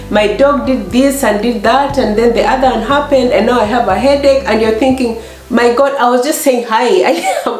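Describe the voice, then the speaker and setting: Echoey, high-voiced Kenyan woman, slightly hoarse. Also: a little mellow. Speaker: vlog host. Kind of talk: casual chat to camera. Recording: echoey room.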